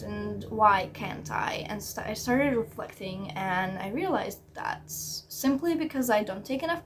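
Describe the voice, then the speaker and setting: A woman's voice: on the high side, a little hiss or hum. Chatty self-critical woman vlogger. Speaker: language learning vlogger. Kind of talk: casual vlog update.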